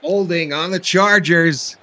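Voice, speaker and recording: A man hosting a live sports stream, mixing casual fan play-by-play with folksy, chummy shout-outs to viewers. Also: sits high, takes it slowly, background noise. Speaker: live stream host calling the game.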